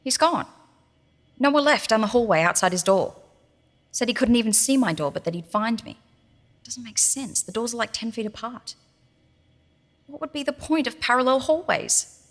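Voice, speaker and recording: A woman's voice: on the high side, expressive, moderate pace, pauses often. Echoing, frightened, bitter captive woman. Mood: confused. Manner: emotional, sarcastic, muttering to herself. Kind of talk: audio diary monologue in fiction podcast drama. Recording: long reverberant hall echo.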